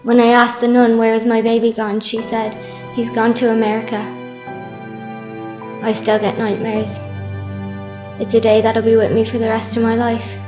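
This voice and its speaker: An actress playing a sorrowful teenage girl giving a measured, subdued first-person testimony. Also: a little high, monotone, echoey room, over music, close to an everyday voice. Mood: grieving. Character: sorrowful teenage girl recounting mother and baby home.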